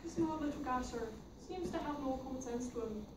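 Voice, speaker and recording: A coarse, grumbling Irish dad, complaining and cursing in a put-on brogue, as a woman acts him out with a high voice. The reading is picked up from across an echoey room, with steady noise behind it. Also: fairly quick, lightly acted. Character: crude middle-aged Irish father, grumbling. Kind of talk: amateur play reading. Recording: distant roomy recording with background noise.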